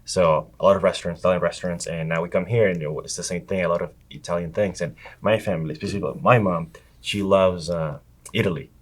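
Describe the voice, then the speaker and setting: A man from Venezuela hosting an interview, soft-spoken and quick when telling a story. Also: low-pitched, fairly even intonation. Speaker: interviewer, host. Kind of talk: casual interview questions. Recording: voice from farther off the mic.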